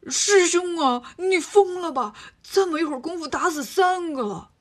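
A woman's voice: sits high, animated, husky, full character voice. Woman voicing a whiny stirring pig-monk. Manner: whiny, stirring up trouble, drawn-out. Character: greedy lazy pig-monk, male, sly troublemaker.

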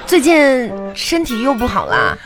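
A woman's voice: a little high, wildly swooping pitch, a little slow. A woman playing an exasperated, sensible best friend in a live comic radio drama, scolding and incredulous. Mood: incredulous, teasing. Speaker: radio comedy actor. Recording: radio broadcast with music behind.

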